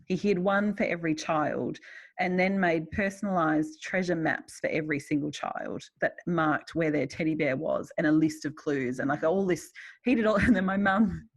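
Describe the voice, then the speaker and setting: A middle-aged Australian woman with a slightly low voice. She talks candidly and casually, with a wry, self-deprecating streak, as one writer chatting to another. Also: moderate pace. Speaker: writer in conversation.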